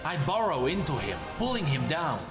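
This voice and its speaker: An ancient warrior spirit imprisoned in a sword, played by a man, haunted and ravenous. He speaks in short, halting, dramatic phrases, as in a dark fantasy story. The sound is roomy and echoing, with music behind. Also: fairly high pitch, big pitch swings. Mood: predatory, urgent.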